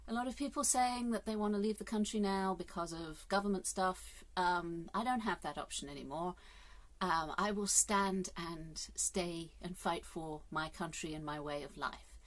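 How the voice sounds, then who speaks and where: A woman's voice: on the low side, normal speed. Earnest, musing middle-aged woman. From England. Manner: earnest, musing, with hesitant fillers. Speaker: vlogger. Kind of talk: casual reflective monologue. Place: inside a caravan. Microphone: phone camera at arm's length.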